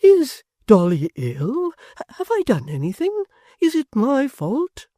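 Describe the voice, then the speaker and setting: A reader voicing a gentle, kindly middle-aged locksmith in a storybook reading, speaking earnestly. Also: male voice, on the high side, sing-song, takes it slowly, dry recording, clean studio sound, voice pushed up.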